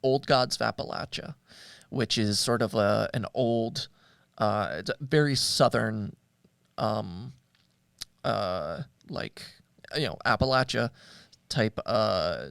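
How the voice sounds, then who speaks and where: Hesitant man with a creaky, dry-recorded voice. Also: fairly low pitch, slow pace, pauses often, fairly bright tone, no echo. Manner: hesitant, searching for words. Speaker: podcast host. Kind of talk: casual discussion of audio dramas.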